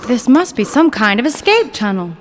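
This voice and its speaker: An actress playing a bold, plucky scientist's daughter in an old-time radio adventure, spirited and brisk. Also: female voice, on the high side, big pitch swings, noisy background. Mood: urgent.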